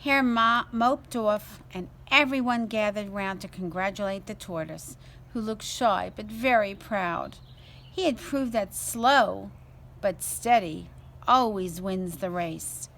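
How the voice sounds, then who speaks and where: A woman reading a children's story aloud as a storyteller, in an expressive, deliberate way. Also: medium pitch, creaky, a little hiss or hum.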